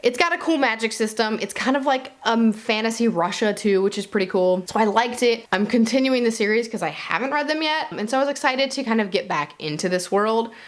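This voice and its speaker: A woman's voice: on the high side, animated, brisk, hardly any pauses. A woman talking casually and informally to viewers as a reviewer, peppering her speech with "like". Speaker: book reviewer and video host. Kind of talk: casual monthly reading wrap-up to viewers.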